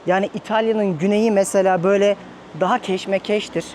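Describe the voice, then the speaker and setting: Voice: mid-range voice, steady tone, fairly quick, darkish tone, slight background noise. A travel vlogger from Turkey talking casually and conversationally, addressing viewers directly.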